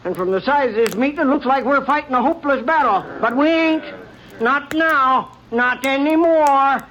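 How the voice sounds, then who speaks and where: An actor playing a folksy, scheming small-town doctor who drawls and speechifies in a 1950s radio drama. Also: male voice, very high-pitched, moderate pace, fairly bright tone. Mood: rousing. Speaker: town committee leader running a rally. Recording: dull lo-fi old radio broadcast with crowd murmur.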